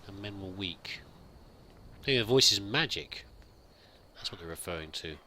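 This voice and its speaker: A man commenting on his roleplay gameplay, with a very bright, sharp voice that swings lively up and down in pitch. He muses aloud in a chatty way and reads game text as he goes. A faint noise sits under the voice. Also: normal speed. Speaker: let's play host.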